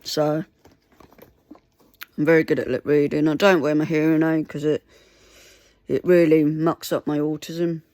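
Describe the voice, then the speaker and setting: Woman, Mandarin first language, halting and pleading, dry close sound. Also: low voice, little pitch movement, warm tone, dry recording. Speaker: vlogger. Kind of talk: casual talk to camera.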